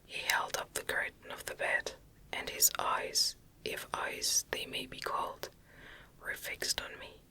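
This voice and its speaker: ASMR reader for gothic classic: whispers throughout, very slow and deliberate, soft delivery. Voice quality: breathy, unvoiced whisper. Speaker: ASMR narrator reading a novel aloud. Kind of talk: whispered ASMR audiobook reading of gothic fiction.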